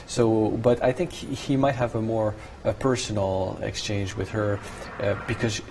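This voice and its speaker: A man's voice: on the low side, steady tone, moderate pace. A French Canadian man, a native French speaker, giving conversational live studio analysis and searching for his words. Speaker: studio commentator, French-language coverage host. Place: broadcast studio. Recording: clear background noise.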